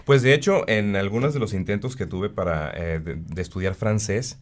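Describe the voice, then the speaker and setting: Male radio host, lowish voice, animated, trips over restarts. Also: moderate pace. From Tijuana, Mexico. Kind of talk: radio interview.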